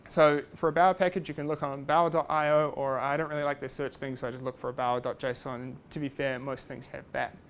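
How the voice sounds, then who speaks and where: A man's voice: mid-range voice, little pitch movement, quick pace, few pauses. A man giving a casual technical talk at a meetup, chatty and self-deprecating with lots of fillers. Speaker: meetup speaker. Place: meetup room.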